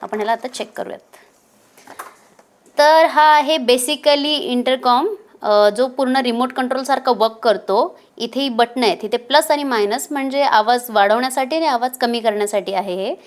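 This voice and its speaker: High-voiced woman giving a casual gear demo. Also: normal speed. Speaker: motovlogger presenting a product. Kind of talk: casual unboxing and installation demonstration.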